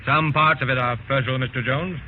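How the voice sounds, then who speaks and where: A man's voice: mid-range voice, fairly even intonation, fast talker, natural voice. A man playing a haunted, florid adventurer recounting his travels in a formal, dramatic 1930s radio drama style. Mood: grave, florid. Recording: tinny, noisy old radio recording.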